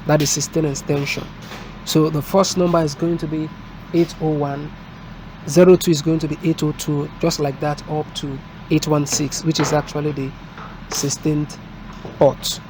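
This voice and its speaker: A man giving an unscripted hands-on installation tutorial as a technician, explaining step by step in a halting way. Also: a little high, flat delivery, darkish tone. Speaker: technician presenting a product installation. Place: indoors at a desk, filmed from above. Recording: noisy room with street and vehicle sounds.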